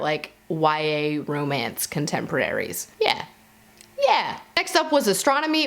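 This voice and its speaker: Lively, animated woman chatting casually. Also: mid-range voice. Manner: informal and conversational, self-correcting, with playful asides. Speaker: book reviewer vlogger. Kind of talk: casual chatty monologue to camera.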